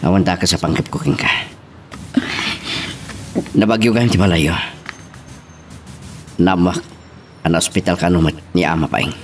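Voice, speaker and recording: Very deep-voiced Ilocano man. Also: unhurried, pauses often, soft background music. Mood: inquiring. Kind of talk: Ilocano radio drama acting. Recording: faint ambient noise behind.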